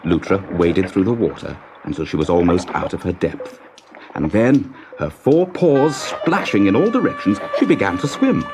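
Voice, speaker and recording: A man narrating a children's story in a measured, dramatic, expressive manner. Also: low voice, noisy background.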